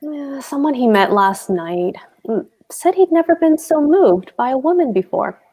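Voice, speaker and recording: A woman's voice: sits high, lively intonation, normal speed, dark tone. A woman playing a brusque, stubborn husband and landlord in a comic play table read, speaking in blunt, clipped phrases.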